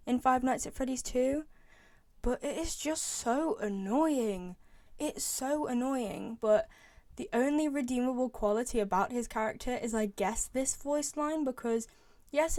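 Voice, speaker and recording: Higher, mellow-voiced young woman, casual commentary. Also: no echo, studio quality. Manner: informal, emphatic, repeats herself. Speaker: video creator ranking game voice lines.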